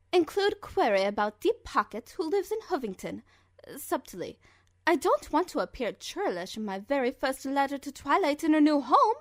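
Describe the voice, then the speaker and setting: A woman's voice: high-pitched, a bit bright, no echo, studio quality, exaggerated voice. A woman voicing a refined, fussy female cartoon unicorn with a genteel, affected and theatrical manner. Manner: affected, genteel, melodramatic with muttered asides. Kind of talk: cartoon character letter reading.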